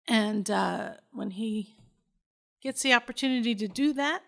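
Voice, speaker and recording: Slow-talking chatty woman podcast host. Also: a little high, gravelly, fairly bright tone. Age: middle-aged. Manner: chatty, rambling, many fillers. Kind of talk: casual solo podcast chat.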